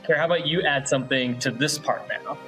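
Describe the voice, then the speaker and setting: A man's voice: medium pitch, fast talker, a bit bright, soft background music. A man hosting a casual, upbeat online event in a chatty, encouraging, informal way. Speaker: show host.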